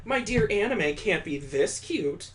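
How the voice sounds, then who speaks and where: A man's voice: high-pitched, very animated, measured pace, a bit bright, slight background noise, voice pushed up, heavily acted. A man voicing a cheerful, affectionate young woman who likes to tease, read aloud from a visual novel. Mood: playful.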